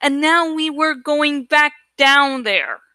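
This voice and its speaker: A woman hosting a livestream reading of a novel, speaking in an expressive, deliberate way with casual, dramatic delivery. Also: high voice, no room sound, clean studio sound. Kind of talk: dramatic audiobook-style reading with casual asides.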